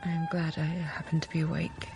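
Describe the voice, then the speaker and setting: An actress playing an earnest, self-possessed young governess in a period radio drama, restrained and polite. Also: female voice, sits low, little pitch movement, a little fast, a little mellow, faint music behind.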